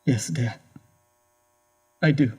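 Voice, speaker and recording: An actor playing a frightened, obedient young adult son in an audio horror drama, husky, timid and pleading. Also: male voice, mid-range voice, slow, warm tone. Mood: timid, guilt-ridden.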